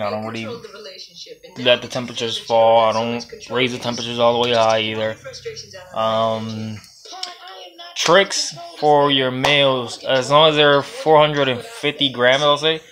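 A young Puerto Rican-accented man talks loose and rambling into a phone he holds close, at a middle pitch. A television crowd murmurs clearly behind him in a home reptile room. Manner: loose and rambling, with laughs and swearing. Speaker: reptile breeder and vlogger. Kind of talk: casual how-to vlog. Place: indoor reptile room lined with storage tubs. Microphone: phone held close at arm's length.

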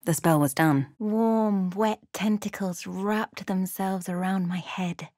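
Actress doing a thoughtful woman adventurer for a fantasy game, measured dramatic storytelling, super dry recording. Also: mid-range voice, darkish tone, no room sound, lightly acted. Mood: hushed, tense narration. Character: thoughtful adventurer woman recounting her journey. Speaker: voice actor for a player character. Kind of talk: scripted game narration and character lines.

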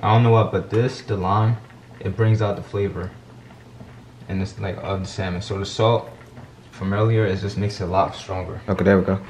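Low-voiced young man, echoey kitchen. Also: steady tone, normal speed. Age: young adult. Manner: informal, slangy. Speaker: home cook and vlog co-host. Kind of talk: casual cooking chat. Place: home kitchen, indoors. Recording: echoey kitchen with loud frying noise. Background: frying sizzle and stirring.

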